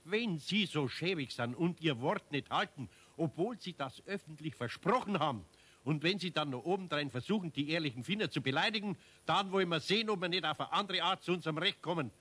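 A man's voice: on the high side, lively intonation, brisk, sharp tone, slightly rough, exaggerated voice. An actor playing a kindly, upright, middle-aged Bavarian master craftsman, plain-spoken, in a 1970s children's radio play. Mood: indignant.

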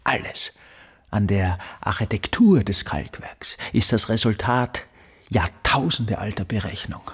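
An embittered, obsessive recluse in a radio drama monologue. His voice is low and husky, often breathy, and he broods slowly over insistent, halting phrases that circle back on themselves. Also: a bit bright, full character voice.

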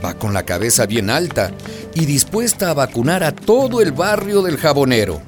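A man's voice: fairly high pitch, big pitch swings, moderate pace. A man playing a gossipy, watchful neighbourhood narrator in a radio drama, confiding and theatrical. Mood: admiring, gossipy. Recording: music behind the voice.

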